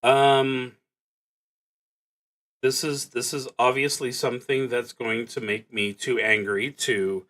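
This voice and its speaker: A man thinking aloud as he narrates a solo tabletop roleplaying game, in a halting, musing, wry way. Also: medium pitch, fairly even intonation, a bit bright.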